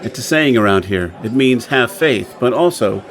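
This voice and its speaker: An actor playing a sardonic gentleman adventurer with a measured, deadpan manner in a radio drama. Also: mid-range voice, close to an everyday voice. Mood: calm. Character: dry, sardonic gentleman adventurer, the professor's partner.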